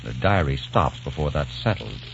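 Un actor que interpreta a un joven estadounidense soñador y serio, narrando en un radioteatro de los años cuarenta, en una grabación antigua y apagada con música. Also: male voice, a bass voice, lively intonation, moderate pace, vocal fry, fairly bright tone, heavily acted. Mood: earnest. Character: young American man, dreamy and earnest. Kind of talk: 1940s radio drama acting. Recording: dull old radio transcription with music.

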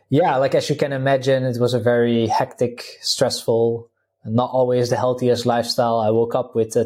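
A man's voice: mid-range voice, a little mellow. A man in his thirties from the Netherlands with a Dutch accent, a guest talking casually in an interview, full of fillers and restarts. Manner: chatty, many fillers and restarts, laughs easily. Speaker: guest, vegan health coach.

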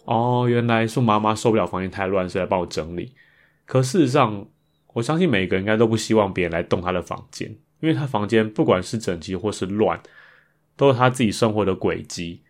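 Male podcast host, low voice, Taiwanese Mandarin accent, conversational and reflective solo talk. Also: brisk. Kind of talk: casual podcast monologue about improvised songs.

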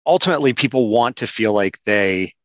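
A man hosting an interview, asking questions in a measured, conversational way. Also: lowish voice, expressive, no room sound. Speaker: interview host. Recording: dry, dull lo-fi sound.

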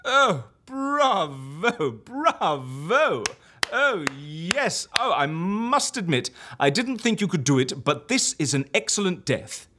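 An actor playing an excitable, sarcastic head of an agency in a comedy audio drama, talking theatrically and repeating words for effect. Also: male voice, high voice, slow, slight background noise, heavily acted. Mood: intrigued, delighted.

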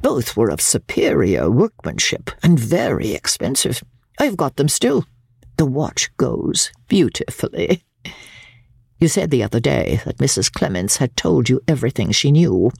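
A woman voicing a bitter, proud older Victorian woman in a dramatic audiobook reading, husky and gravelly, with haughty, indignant, precise diction. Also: very deep voice, big pitch swings, a little slow, darkish tone, no room sound, studio quality, heavily acted. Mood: self-justifying, then defiant.

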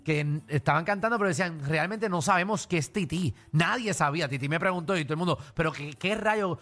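A man, co-hosting a radio show with playful jokes and quick reactions. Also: fairly high pitch, fairly bright tone. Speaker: radio co-host.

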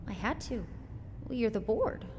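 A woman's voice: a little high, lively intonation, normal speed, a little mellow, natural voice. A woman playing a meek, dutiful office clerk in an audio drama, hesitant and apologetic. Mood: dutiful, nervous. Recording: echoing hall, faint noise.

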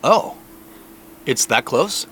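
Audio drama role: polite out-of-towner, easygoing, medium-pitched with lively inflection, clear ambient noise behind. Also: male voice, drawn-out words, full character voice. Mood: polite, casual. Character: polite, casual male out-of-town visitor.

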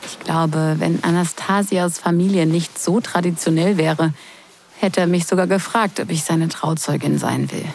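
Actress as sarcastic, flustered young woman, even-pitched. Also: moderate pace, gravelly, slight background noise. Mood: exasperated, confiding. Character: sarcastic, flustered young sister of the groom, secretly smitten. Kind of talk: intimate first-person audio drama narration and dialogue.

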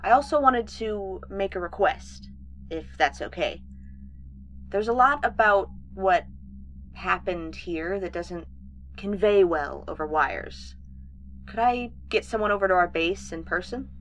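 A woman's voice: mid-range voice, a little slow, a bit bright, a little hiss or hum, close to an everyday voice. A woman playing a testy, insistent moon base officer in an audio drama. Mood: formal, slightly nettled.

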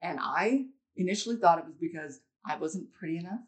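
Deep-voiced woman, podcast guest, blunt, rambling, low pitch. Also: a little fast. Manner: rambling, blunt, swears freely. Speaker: guest comedian. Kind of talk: casual podcast chat.

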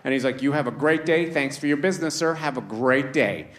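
A man's voice: medium pitch, fairly quick. A Trinidad-born man with a Canadian accent doing a stand-up comedy set, chatty and acting out characters, laughing at his own jokes. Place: live comedy show with audience.

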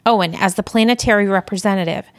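A woman's voice: mid-range voice, quick pace, dry recording, close to an everyday voice. A woman playing a stern, exasperated alien empire commander in an audio drama, speaking formally with dry, curt delivery. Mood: formal, officious.

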